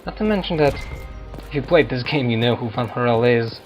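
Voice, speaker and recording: A man doing casual let's-play commentary on a roleplaying video game, musing and haltingly thinking aloud. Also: mid-range voice, dull audio. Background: video game music.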